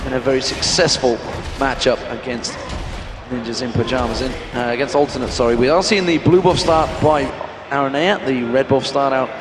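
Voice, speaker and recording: A man doing brisk esports play-by-play commentary on a headset at a packed convention hall. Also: medium pitch. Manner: brisk, excitable hype. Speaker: esports caster. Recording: echoey arena with crowd noise.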